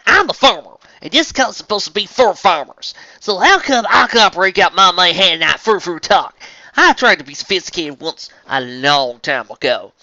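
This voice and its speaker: A man voicing a blunt, hardworking farmer pony mare with a loud Southern drawl, reading a fan story aloud. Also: high voice, expressive, moderate pace, no echo, lo-fi sound, voice pushed up, exaggerated voice. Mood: defiant. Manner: loud twangy drawl, impassioned.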